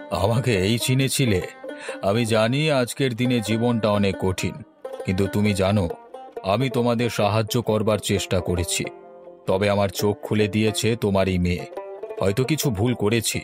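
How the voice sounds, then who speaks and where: A man's voice: lowish voice, fairly even intonation, moderate pace, over music, natural voice. A man voicing a remorseful, kindly middle-aged village landlord in a cartoon, speaking earnestly and reflectively. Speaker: voice actor. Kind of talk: cartoon voice acting.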